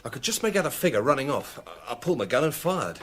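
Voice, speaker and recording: An actor playing an earnest, shaken police sergeant with a British accent, reporting plainly and urgently to the chief in a radio drama. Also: male voice, medium pitch, sing-song, quick pace, a bit bright.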